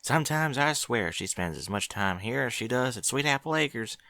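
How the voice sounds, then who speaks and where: A man's voice: mid-range voice, animated, moderate pace, vocal fry, pushed and bright, dry recording, studio quality. A man voicing a spunky young filly pony girl in a fan fiction reading, with an eager, indignant way of talking. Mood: wistful.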